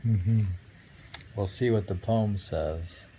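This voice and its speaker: Very slow, low-voiced man reading poetry. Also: mellow, a little hiss or hum. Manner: musing, halting, murmurs to himself between readings. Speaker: reader of a haiku book. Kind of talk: casual poetry read-aloud with musing commentary.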